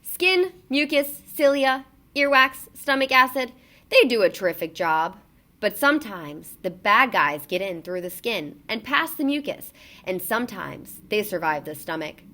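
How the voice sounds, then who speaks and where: A woman reading a children's picture book aloud in an expressive, emphatic storytelling delivery. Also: mid-range voice, a little slow.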